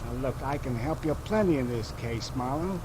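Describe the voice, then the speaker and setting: A man's voice: mid-range voice, expressive, moderate pace, natural voice. A man with an American accent playing a pushy, seedy ex-detective in a 1950s radio drama, cocky, slangy and wheedling. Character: pushy, seedy male ex-detective angling for money. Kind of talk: 1950 radio crime acting. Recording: old radio broadcast under loud vehicle noise.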